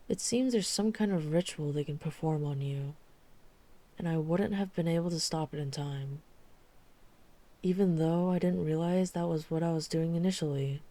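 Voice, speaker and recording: A woman playing a dry-witted female vampire hunter in an urban fantasy ASMR roleplay, speaking in a measured, deliberate storytelling manner. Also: low-pitched, mellow.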